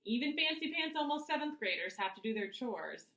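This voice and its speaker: A woman's voice: fairly high pitch, big pitch swings, fairly quick, sharp tone, roomy sound, voice pushed up, full character voice. A woman voicing a genial, teasing, mildly grumbling suburban dad in a children's story.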